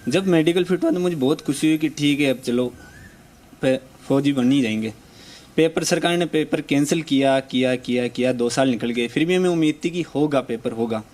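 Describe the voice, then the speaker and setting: A man's voice: medium pitch, moderate pace, darkish tone. A man in his twenties, an army aspirant, speaking passionately and pleading in a street interview. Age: 20s. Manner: impassioned, pleading.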